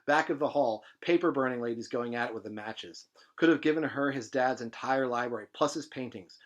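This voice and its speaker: A man reading literary fiction aloud in an expressive, dramatic manner with emphatic phrasing. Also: medium pitch, brisk. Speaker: presenter reading a novel aloud.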